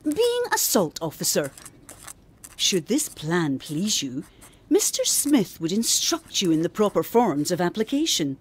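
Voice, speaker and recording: A woman's voice: on the low side, wildly swooping pitch, unhurried, husky, full character voice. A woman playing a genteel lady patron in a period radio drama, reading her letter aloud with formal, earnest advice. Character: genteel, well-connected older lady patron writing letters.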